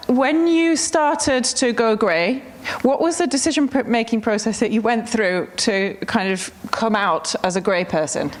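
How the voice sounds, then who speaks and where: A woman's voice: on the high side, measured pace, few pauses, background noise. A woman in her thirties with an Australian accent, moderating a panel with thoughtful, hesitant questions. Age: 30s.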